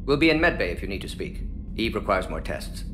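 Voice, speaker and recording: Fast, clipped alien science whiz, lively lilting pitch, music under. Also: male voice. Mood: brisk. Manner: rapid clipped speech dropping small words. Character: brisk male alien scientist, clipped and hyper-precise. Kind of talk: video game voice acting.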